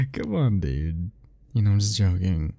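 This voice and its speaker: A man's voice: deep voice, gravelly, mellow. A young man doing an ASMR roleplay as a teasing, easygoing roommate talking to the listener, laughing often.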